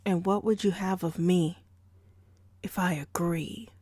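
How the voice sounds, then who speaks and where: A woman playing a wary, defiant enslaved woman in an audio drama, speaking in a halting, old-fashioned formal way. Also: fairly low pitch, steady tone, mellow, slightly rough, no room sound. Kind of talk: podcast audio drama acting. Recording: dry amateur home recording.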